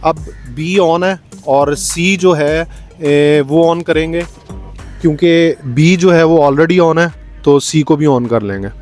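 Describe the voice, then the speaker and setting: A man's voice: fairly high pitch, slow pace, darkish tone, faint music behind. A man, a vlogger demonstrating a product step by step in a tutorial style.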